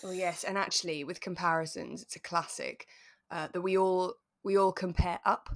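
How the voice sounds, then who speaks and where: A British woman co-hosting a podcast in a relaxed, reflective chat, explaining her thoughts as she goes. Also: fairly low pitch, moderate pace. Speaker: podcast co-host. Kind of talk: relaxed chat reviewing a radio drama.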